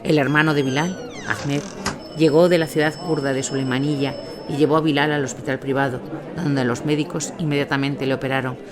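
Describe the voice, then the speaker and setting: A man's voice: a little high, steady tone, fairly quick. A man reading scripted documentary narration as the narrator. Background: music under the voice.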